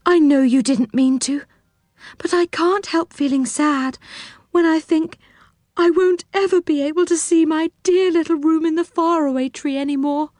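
A woman voicing a sad, tearful and gentle little female fairy in a children's storybook, with a plaintive, childlike manner. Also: high-pitched, steady tone, normal speed, no echo, studio quality, pitched above the performer's own voice, close to an everyday voice.

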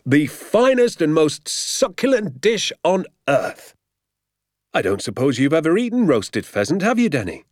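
Man playing an exuberant, boastful father, swooping pitch. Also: wildly swooping pitch, quick pace, few pauses, no echo, clean studio sound. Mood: exultant. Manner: grandly emphatic, stretches words with relish.

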